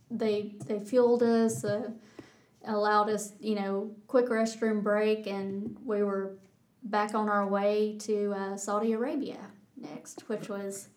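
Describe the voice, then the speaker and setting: Flat-toned Texan woman pilot. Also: medium pitch. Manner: flat, measured, with many restarts. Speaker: pilot guest. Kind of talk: casual interview answers.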